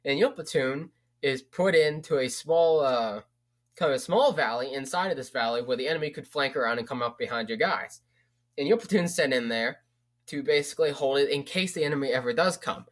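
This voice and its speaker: A young man talks casually to camera, rambling with plenty of fillers and restarts. He swears offhandedly, and his voice sits at an ordinary middle pitch. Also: fairly quick. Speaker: vlogger. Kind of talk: casual book recommendation.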